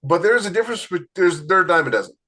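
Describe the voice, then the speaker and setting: A man's voice: high voice, lively intonation, racing, bright, forceful tone. A man co-hosting a podcast in casual conversation, talking emphatically and repeating points for stress. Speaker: podcast co-host, indie author. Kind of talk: casual chat about publishing.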